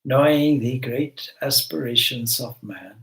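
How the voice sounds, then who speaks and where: A man leading a guided meditation as a spiritual teacher, giving gentle instructions with long pauses between phrases. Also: medium pitch.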